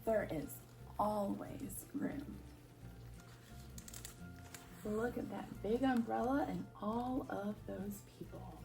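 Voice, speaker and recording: A woman's voice: medium pitch, animated, slow pace, creaky, long pauses, recorded from a distance, over music, low-quality recording. A woman reading a picture book aloud for young children, expressively and with questions to her listeners. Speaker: children's storyteller at a church.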